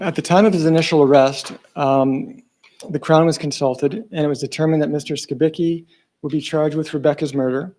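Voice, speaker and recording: A soft-spoken man speaking hesitantly, with many pauses and fillers, as a police investigator giving a statement at a press conference in a large room. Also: medium pitch.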